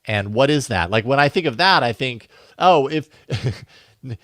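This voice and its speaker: A man's voice: mid-range voice, expressive, measured pace, a bit bright, no room sound, clean studio sound. A man with an American accent co-hosting a tech podcast, talking in a casual, musing, conversational way. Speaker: podcast host.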